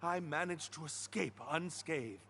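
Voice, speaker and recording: An actor voicing an earnest, grateful young prisoner in love in a video game, eager, sincere and slightly hesitant. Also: male voice, fairly high pitch, measured pace, a bit bright, breathy edge, full character voice. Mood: earnest, nervous.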